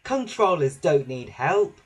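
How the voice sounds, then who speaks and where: Guy doing a proud bossy little train engine for a kids story, high voice, bit husky. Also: very animated, slow, heavily acted. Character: Percy, eager, self-important little male steam engine. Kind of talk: children's storybook character voice.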